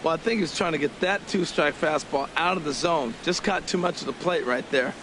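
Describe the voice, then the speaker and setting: A man's voice: a little high, a little fast, close to an everyday voice. A man playing an easygoing, knowing baseball color analyst in a video game, commenting conversationally. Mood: analytical. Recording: stadium noise behind the voice.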